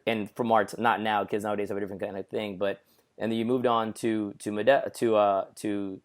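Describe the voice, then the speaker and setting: Brief: low-pitched male interviewer from Jamaica, chatty, asks questions in strings. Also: level tone, brisk. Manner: chatty, thinks aloud, asks several questions in a row. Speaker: interviewer host. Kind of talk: casual interview conversation.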